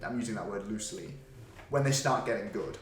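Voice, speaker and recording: A man's voice: fairly low pitch, brisk. A man chatting casually as the co-host of a podcast. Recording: echoey room.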